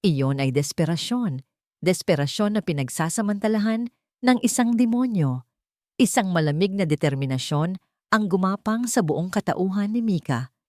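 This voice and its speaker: Low-voiced woman narrating a drama. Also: a little mellow, dry recording, studio quality. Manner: measured, emotive reading. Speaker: storyteller. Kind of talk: dramatic audio story narration.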